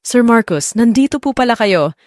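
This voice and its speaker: Woman acting a lively, caring female nurse. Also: on the high side, normal speed, no echo, studio quality, natural voice. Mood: glad, coaxing. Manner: gentle, coaxing, polite.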